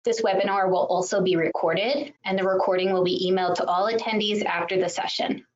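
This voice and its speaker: A woman's voice: medium pitch, steady tone, a little fast. A woman opening a webinar as its host, politely reading a scripted welcome and introduction. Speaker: journal manager, host. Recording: dull lo-fi webinar audio.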